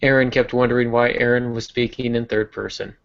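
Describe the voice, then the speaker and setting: A man co-hosting a writing podcast, talking in a relaxed, chatty way with frequent restarts. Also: medium pitch, fairly quick, gravelly. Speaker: podcast co-host. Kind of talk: casual writing craft chat. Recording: dull lo-fi podcast audio.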